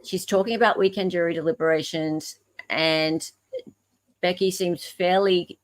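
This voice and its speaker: An Australian woman with a slightly low voice talks as a podcast co-host. She explains casually, stopping and correcting herself as she works through her point. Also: fairly even intonation. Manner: halting, self-correcting, explanatory. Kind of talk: casual discussion of legal case emails.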